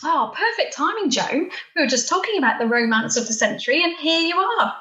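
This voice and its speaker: A woman's voice: high-pitched, expressive, a little fast, bright, forceful tone. A woman playing a friendly, eager daughter in an amateur radio play, effusive and affectionate. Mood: relieved, welcoming. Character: friendly, eager daughter helping host an evening. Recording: echoey room, home video call, faint music.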